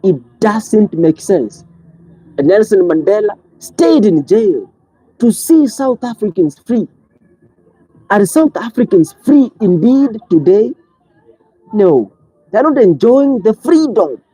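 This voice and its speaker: Husky, impassioned commentator. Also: big pitch swings, slow, dry recording, poor audio. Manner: preachy, fires rhetorical questions, swings between loud outbursts and low pleading. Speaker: online commentator. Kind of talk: impassioned monologue.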